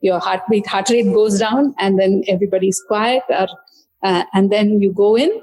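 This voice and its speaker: A woman author talks about her book. She speaks in a thoughtful, unhurried way, often pausing, restarting and searching for words, at a middling pitch. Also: fairly even intonation, warm tone, poor audio. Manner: thoughtful, many fillers and restarts. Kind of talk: conversational book discussion.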